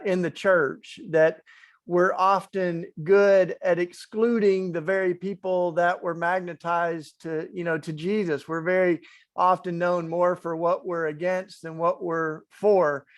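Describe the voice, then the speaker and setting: A man's voice: high voice, steady tone, slow pace. A man with an East Tennessee accent, speaking earnestly and conversationally as a panelist in an online talk. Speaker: webinar panelist, faith organizer.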